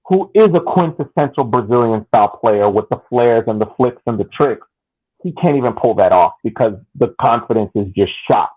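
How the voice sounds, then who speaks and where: A man co-hosting a soccer podcast, breaking down the play in a thoughtful, repetitive way. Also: medium pitch. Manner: thoughtful, repeats phrases for emphasis. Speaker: podcast co-host. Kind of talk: casual soccer analysis chat. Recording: tinny phone-like line.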